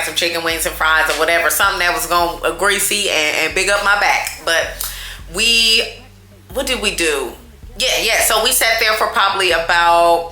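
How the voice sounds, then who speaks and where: Loud, chatty woman telling a story. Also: on the low side, roomy sound, poor audio. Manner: chatty, animated, addresses viewers as y'all. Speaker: storytime vlogger. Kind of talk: casual storytelling to viewers.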